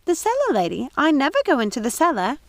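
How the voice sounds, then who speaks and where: A woman puts on a muddled old housekeeper from a storybook, her voice pitched high and quavering. She speaks politely and unsurely, with lively swings in tone, over a dry, close sound. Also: fast talker, dry recording, heavily acted. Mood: confused. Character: befuddled old female housekeeper, timid.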